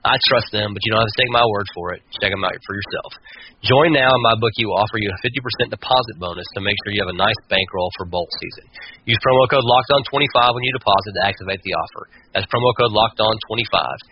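A man hosting a sports talk podcast, giving commentary with a halting delivery that often repeats words. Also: on the low side. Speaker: podcast host. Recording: dull lo-fi sound with clear noise.

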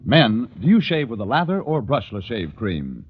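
A man with a husky, rough voice reading a scripted 1940s radio commercial. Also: medium pitch, sing-song, normal speed, music in the background. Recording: tinny, narrow old radio recording.

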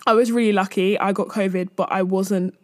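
A woman's voice: mid-range voice, steady tone, a little fast, no room sound. Chatty woman in her twenties, dry close sound. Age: 20s. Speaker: podcast host. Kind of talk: casual chatty solo podcast.

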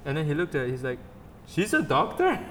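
A man's voice: fairly high pitch, wildly swooping pitch, a little fast, fairly bright tone, in a large hall, a little hiss or hum. A man, a podcast co-host, teasing and telling stories in casual chat. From Malaysia. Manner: teasing, repeats jokes, storytelling.

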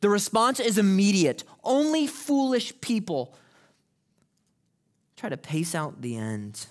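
A man preaching a sermon as pastor, moving from conversational teaching to loud, impassioned preaching. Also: high-pitched, very animated, slow. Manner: acts out quoted people in casual voices. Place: church sanctuary.

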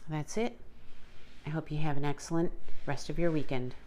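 A woman's voice: sits low, moderate pace, mellow, slight background noise. A woman hosting a solo podcast, talking earnestly and pleading with her listeners to be kind to each other. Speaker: podcast host.

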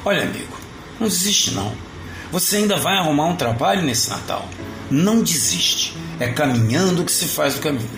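A creaky, fairly high-voiced old Brazilian man from Rio played by a male actor, a wry out-of-work Santa in a radio play. He grumbles colloquially to an old friend, his pitch moving freely. The room echoes around him. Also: sing-song, fairly quick, music in the background. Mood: encouraging. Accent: Brazilian, Rio de Janeiro. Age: older. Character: weary, wry retired mall Santa, encouraging old friend. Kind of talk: conversational audio drama. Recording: roomy echo, faint background noise.

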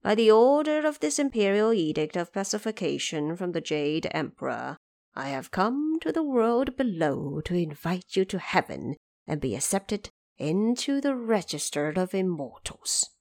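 A woman playing a dignified old male celestial envoy in a storybook, speaking in a formal, measured, diplomatic manner. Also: fairly low pitch, very animated, a little mellow. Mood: formal, stately.

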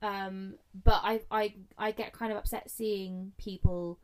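A young woman co-hosting a podcast, talking loudly and animatedly while holding the microphone very close. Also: medium pitch, a little slow. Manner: loud, animated ranting. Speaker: podcast co-host. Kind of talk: casual podcast chat. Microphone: handheld mic held close, no foam cover.

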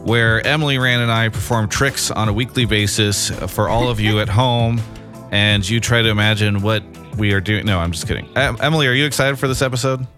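A man's voice: on the low side, brisk, fairly bright tone, soft background music. A man co-hosting a podcast from his apartment, chatting casually with upbeat, joking banter. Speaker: podcast co-host.

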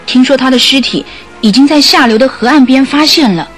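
A woman's voice: sits high, a little fast, soft background music, lightly acted. An actress playing a sorrowful, guilt-ridden woman making an earnest confession in a radio drama. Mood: anxious, confiding. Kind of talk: Mandarin radio drama acting.

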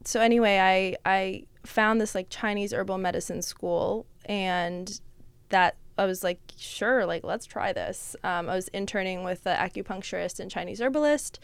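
A young woman chatting as a podcast guest, her voice smooth and clear with a dry, close sound. She talks loosely, with lots of "like" and "um". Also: medium pitch, moderate pace, no room sound. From Russia. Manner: chatty, many fillers like "like" and "um", laughs often. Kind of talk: casual chat.

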